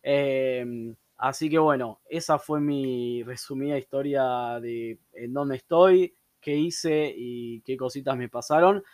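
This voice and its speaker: A relaxed Argentine man hosts a casual talk, pausing often on drawn-out fillers as he tells his story. His voice sits at an ordinary middle pitch. The sound is close and dry, with no room echo. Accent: Argentine Spanish. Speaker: podcast host. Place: room with door open, people passing.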